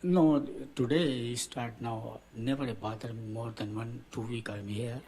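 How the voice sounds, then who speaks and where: A man serving as a juror, answering questions in court in halting, non-fluent English. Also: mid-range voice, fairly even intonation, warm tone, breathy edge.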